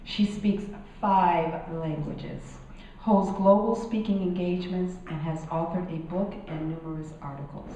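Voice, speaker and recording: A woman reads a formal introduction from paper in a soft, mellow voice. She is picked up by a far camera, so she sounds very echoey, distant and dull. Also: fairly low pitch, steady tone, measured pace, a little hiss or hum. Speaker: event host. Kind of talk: reading a speaker introduction. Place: small gallery room.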